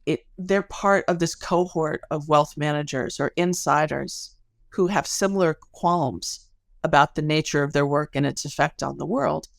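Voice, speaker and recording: A woman's voice: low-pitched, moderate pace, gravelly, no room sound, studio quality. A middle-aged woman speaking as an academic guest in a podcast interview, explaining things articulately through stories and analogies.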